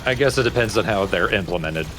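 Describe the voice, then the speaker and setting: Low-pitched male let's play co-commentator, casual gameplay chat. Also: lively intonation, fast talker, a bit bright, slight background noise.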